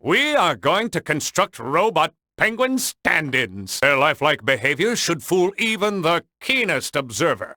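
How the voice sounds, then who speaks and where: A brainy penguin inventor from a cartoon game. The voice is crisp-edged and forceful, sitting a little high, in a very dry recording. He explains things with technical precision and excitable, theatrical earnestness. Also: no room sound, heavily acted. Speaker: voice actor in a video game. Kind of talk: animated cartoon game dialogue.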